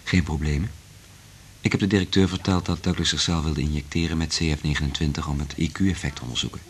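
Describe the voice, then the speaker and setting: An actor playing an earnest, resolute scientist in love in a radio play, speaking in a measured, intimate way with a husky voice. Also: male voice, rumbling low, fairly even intonation, a little hiss or hum. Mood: matter-of-fact, guarded. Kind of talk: Dutch radio play acting.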